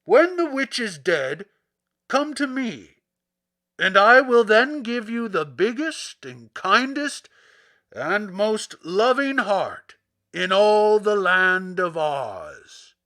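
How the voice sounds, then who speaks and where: Big scary storybook beast, gruff n growly, loud and really drawn out, swoops around. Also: male voice, sits high, very animated, voice pushed up, exaggerated voice. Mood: gruff, commanding. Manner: slow, loud, growling delivery. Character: gruff terrible beast, a wizard's fearsome shape.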